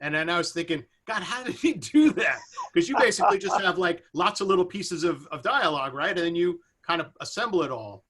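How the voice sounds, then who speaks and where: A man with a slightly high, bright, forward voice talks casually as a seminar panelist. He rambles, working his thoughts out aloud as he goes. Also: lively intonation, fairly quick, hardly any pauses. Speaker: seminar panelist asking a question. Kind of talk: casual discussion on a video call. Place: video call.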